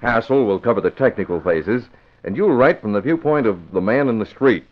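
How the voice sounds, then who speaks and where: An actor playing a brisk, authoritative young male publisher, dry and businesslike, in a vintage radio drama. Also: lowish voice, lively intonation, natural voice. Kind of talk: 1950s radio drama acting. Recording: dull vintage radio recording.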